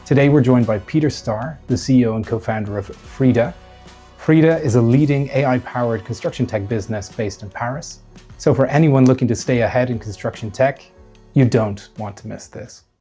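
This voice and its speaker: A man's voice: medium pitch, fairly quick, mellow, soft background music. A man hosting a podcast, presenting and asking questions in a polite, encouraging manner. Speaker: podcast host, interviewer.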